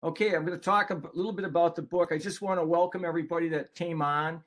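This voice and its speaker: A man's voice: fairly high pitch, fairly even intonation, fast talker, fairly bright tone. A man co-presenting an informal online webinar, speaking as an author.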